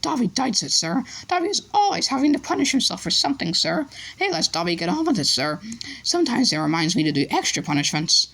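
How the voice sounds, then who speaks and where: A reader voicing a small, servile male house elf with a high-pitched, squeaky voice who talks about himself by name. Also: big pitch swings, quick pace, creaky, fairly bright tone, exaggerated voice. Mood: earnest, fearful. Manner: earnest, speaks of himself by name.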